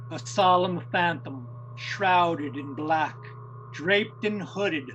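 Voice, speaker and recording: An actor playing a grave storyteller, narrating a Victorian ghost story with measured, theatrical phrasing. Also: male voice, sits high, muffled recording, slight background noise. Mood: ominous. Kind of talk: dramatic scripted narration.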